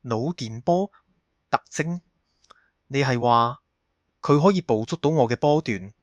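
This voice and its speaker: A man's voice: mid-range voice, expressive, a little slow, no room sound, muffled recording, lightly acted. A man acting a haunted, bitter fugitive in an audio drama, with a brooding delivery. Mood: fearful. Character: haunted, bitter man hiding for thirty years, resigned.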